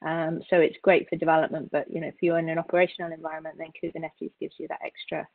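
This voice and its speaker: A woman with a fairly low voice talks learners through a software tutorial in an informal, explanatory way. She restarts and repeats words as she goes. The sound is narrow and phone-like, as from an online call, with a dry, close feel. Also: flat delivery, fast talker, vocal fry, dry recording. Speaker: instructor.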